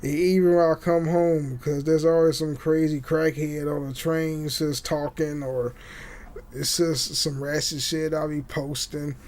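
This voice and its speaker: A young man hosting a podcast, chatting casually and haltingly, with repeated words and frequent swearing. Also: on the high side, flat delivery, darkish tone. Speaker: podcast host. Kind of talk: casual rambling chat with swearing. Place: small home room indoors. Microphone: condenser mic on boom arm.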